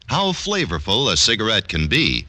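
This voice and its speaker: A man's voice: lowish voice, wildly swooping pitch, normal speed, bright, forceful tone. A man with an American accent reading commercial copy in a 1950s radio advertising style. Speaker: commercial announcer. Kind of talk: 1950s radio cigarette ad read.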